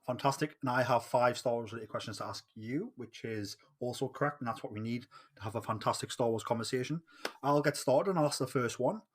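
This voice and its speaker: A man's voice: medium pitch, fairly quick. A man with a Geordie accent hosting a fan podcast in casual, upbeat chat, with frequent fillers and restarts. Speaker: podcast host.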